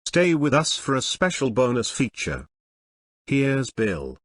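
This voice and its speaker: A man's voice: mid-range voice, wildly swooping pitch, measured pace. A man announcing in a scripted style, as the announcer of an audio play.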